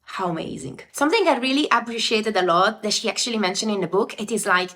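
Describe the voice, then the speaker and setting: A woman's voice: medium pitch, fast talker. A young woman from Italy with an Italian accent, talking earnestly to viewers in a casual book review video. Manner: animated, earnest, direct to viewer.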